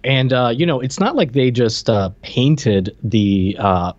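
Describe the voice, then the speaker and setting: Guy in his 30s on a movie podcast, casual and halting, dry slightly dull audio. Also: mid-range voice, darkish tone, no echo. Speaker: podcast co-host. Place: indoor room, video call.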